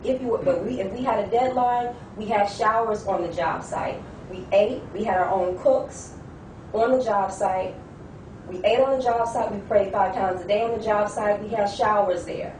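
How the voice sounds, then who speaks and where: A woman's voice: medium pitch, normal speed, darkish tone. A woman giving an earnest account in a debate, listing details and repeating herself. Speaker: discussion participant. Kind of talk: testimony in a debate. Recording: echoey room, far from the mic, with clear noise.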